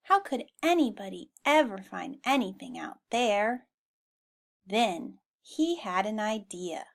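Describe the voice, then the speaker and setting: A woman reading a children's storybook aloud in an expressive style. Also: mid-range voice, slow, vocal fry. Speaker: storybook reader.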